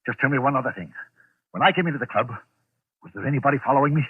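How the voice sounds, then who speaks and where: An actor playing a wealthy, imperious, agitated publisher in a 1940s radio drama, brusque and self-important. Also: male voice, mid-range voice, expressive, racing, breathy edge. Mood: agitated, anxious. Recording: tinny, narrow-band old radio recording.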